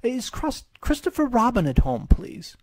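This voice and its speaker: Storybook toy bear, played by a man: courteous, simple-minded, gentle, raised pitch, soft-edged tone. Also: sing-song, a little slow, full character voice. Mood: timid, polite.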